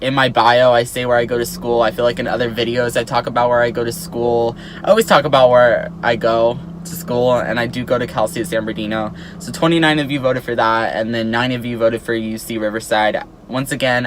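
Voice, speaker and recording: A man's voice: mid-range voice, steady tone, fairly quick, hardly any pauses, fairly bright tone, a little hiss or hum. Chatty eighteen-year-old guy vlogging. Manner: chatty, peppered with "like" and "um". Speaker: YouTuber. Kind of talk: casual vlog chat to camera.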